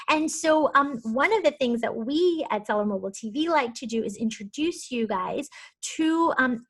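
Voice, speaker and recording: A woman hosting an online interview, relaxed and casual with frequent "you know" fillers. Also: a little high. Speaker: host.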